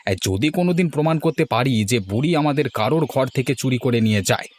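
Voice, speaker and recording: A man's voice: mid-range voice, animated, fast, close to an everyday voice. A man voicing a weary, resentful married farmer in a village cartoon, grumbling about his hard lot. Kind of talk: cartoon voice acting.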